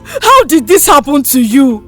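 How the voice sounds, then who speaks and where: A woman acts out an anxious school hostel matron in a told story. Her voice is high and swings widely with emotion, pleading and fretful, over clearly audible music. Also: lively intonation, a little slow. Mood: shocked, grieving.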